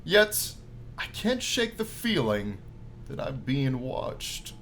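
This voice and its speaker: Animated man doing let's play narration. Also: medium pitch, takes it slowly, slight background noise. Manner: animated, jokey, casual asides. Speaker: gaming YouTuber reading a visual novel aloud. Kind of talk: let's play commentary mixed with dramatic read-aloud narration.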